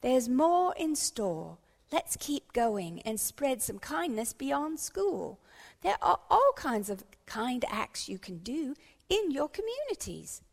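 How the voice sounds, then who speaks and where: A woman reading a children's storybook, voicing a cheerful, excitable schoolteacher who encourages in singsong rhymes. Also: high-pitched, slow pace, creaky, in a large hall, pitched above the performer's own voice, full character voice. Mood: encouraging. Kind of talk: children's storybook read-aloud.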